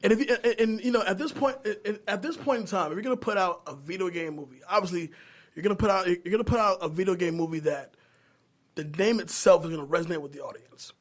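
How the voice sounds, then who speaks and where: A man's voice: high voice, quick pace. A man chatting casually as a podcast co-host, opinionated and free with swearing. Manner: opinionated, profane. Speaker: podcast co-host discussing movies. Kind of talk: casual chat among friends.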